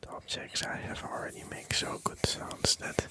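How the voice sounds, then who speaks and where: A soft, breathy whisperer, rambling gently for ASMR with hesitant pauses and repeated phrases. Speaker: ASMR video creator.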